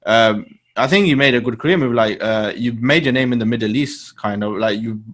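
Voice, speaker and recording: A man hosting a casual interview, relaxed and agreeable, with lots of ums and uhs. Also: medium pitch, fairly quick. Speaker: podcast host, interviewer.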